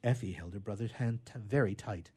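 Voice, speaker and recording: A man reading a children's story aloud in an expressive storytelling style. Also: fairly low pitch, a little fast, breathy edge.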